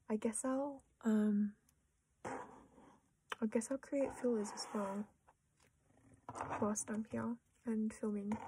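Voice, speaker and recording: A young Australian woman chatting quietly to camera while sorting her collection, often dropping into a half-whisper and poking fun at herself. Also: on the high side, noisy background. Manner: soft-spoken, often half-whispering, self-deprecating. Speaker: collecting vlogger. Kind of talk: casual chat while sorting cards.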